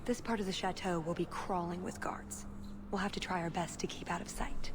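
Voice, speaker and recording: An actress playing a composed, cautious female infiltrator in a video game, measured and dryly wry. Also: on the low side, fairly even intonation, background noise, close to an everyday voice. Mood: wry, hushed.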